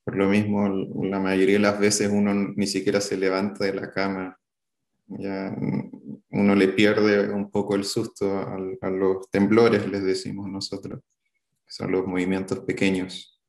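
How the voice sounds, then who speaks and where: Slow, gravelly Chilean man. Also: low-pitched, monotone, darkish tone, roomy sound. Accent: Chilean Spanish. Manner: halting, hesitant, many fillers and restarts. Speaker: interviewee. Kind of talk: recalling a past event in an interview.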